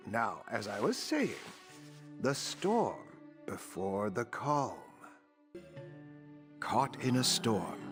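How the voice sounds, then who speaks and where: A man playing a patient, wise older storyteller narrating a video game tale in a measured, solemn way with deliberate emphasis. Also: medium pitch, a bit bright.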